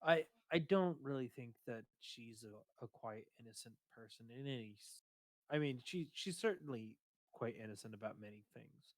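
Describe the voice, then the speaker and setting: A man with a booming voice chatting casually on a stream, rambling and hesitant, with many fillers and restarts. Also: expressive, moderate pace, no room sound. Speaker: streamer discussing his game character. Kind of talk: casual chat.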